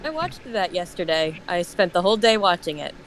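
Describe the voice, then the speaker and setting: A woman's voice: on the low side, sing-song, fairly quick, poor audio. A woman chatting casually as a guest on a livestream group call. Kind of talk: casual chat. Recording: faint noise on group call.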